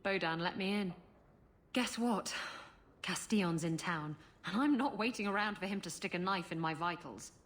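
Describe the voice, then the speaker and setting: A woman's voice: lowish voice, moderate pace, close to an everyday voice. An actress playing a roguish, scheming adventurer woman in a video game, with a playful, theatrical manner. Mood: sly, urgent.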